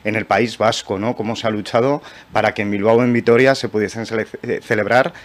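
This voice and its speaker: A man's voice: on the low side, steady tone, fairly quick. A young man with an accent from Spain giving his opinion as a panelist, earnest and articulate, in long sentences. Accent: Spanish from Spain. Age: young adult. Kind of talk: opinion discussion.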